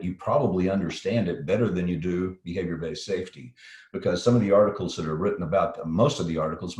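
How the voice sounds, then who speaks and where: A middle-aged man with a slight Texan accent, answering interview questions in a practiced broadcast-style voice, pausing often with fillers. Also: low voice, darkish tone. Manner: thoughtful, with frequent fillers and restarts. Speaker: guest safety consultant. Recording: echoey room.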